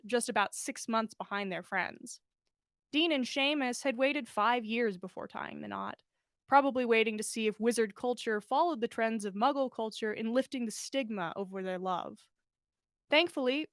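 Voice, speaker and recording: High-voiced woman reading a story aloud. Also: fairly bright tone, no echo, studio quality. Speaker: podcast host reading listener fan fiction. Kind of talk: expressive story narration, livestream read-aloud.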